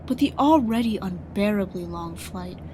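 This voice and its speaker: A woman narrating a radio drama from a script in a husky, breathy voice. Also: medium pitch, lively intonation, normal speed, noisy background.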